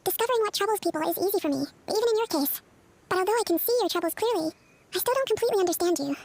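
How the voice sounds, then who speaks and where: A woman's voice: extremely high pitch, expressive, rapid-fire, darkish tone, a little hiss or hum. Composed young female dream spirit. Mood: calm explaining. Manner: composed, articulate, reassuring. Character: calm young female dream-eating spirit working as therapist. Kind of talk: video game character acting.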